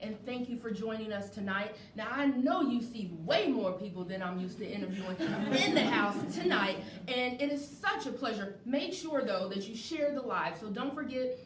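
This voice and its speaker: Female talk show host, live presenting, recorded off-mic with light background noise. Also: on the high side. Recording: echoey, distant mic, faint noise.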